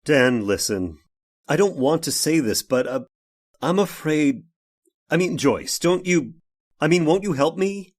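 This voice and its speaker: An actor playing an anguished, vengeful man in an old time radio horror drama, speaking haltingly with dramatic pauses. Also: fairly high pitch, expressive. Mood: pleading, agitated. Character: anguished man turned vengeful robot, narrating his horror tale. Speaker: narrator and lead actor.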